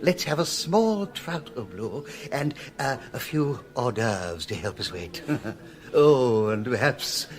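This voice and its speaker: A man's voice: medium pitch, sing-song, slow pace. Chummy british showbiz boss in a radio play, takes his time, very up and down, theatrical gossip. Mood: jovial, hospitable. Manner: effusive, chuckling, theatrical. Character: jovial, gossipy male show impresario and gourmand. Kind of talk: British radio drama acting.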